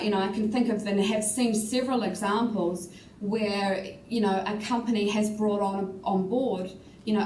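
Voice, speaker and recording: A woman with a New Zealand accent speaking as a panelist, measured and thoughtful, with frequent "you know" and "um" fillers. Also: medium pitch, a little mellow, echoey room, far from the mic, slight background noise, poor audio. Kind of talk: casual panel discussion.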